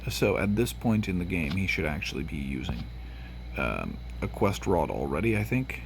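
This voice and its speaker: Sniffly Tennessee man with gravelly voice. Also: low voice, sing-song, normal speed, noisy background. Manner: rambling, with frequent fillers and restarts. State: sniffly from allergies. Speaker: gaming channel host. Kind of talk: casual gameplay commentary.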